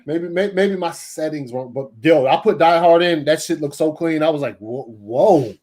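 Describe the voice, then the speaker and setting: A man with a voice a bit higher than average joins a casual podcast chat. He talks in slang, swears freely and leans hard on his opinions. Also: moderate pace, a little mellow. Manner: slangy, profane, emphatic. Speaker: podcast panelist.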